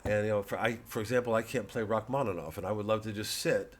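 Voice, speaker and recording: A man who is a comedian, talking casually to his audience in a halting, digressive monologue with repeated words. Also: fairly low pitch, steady tone. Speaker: comedian, host.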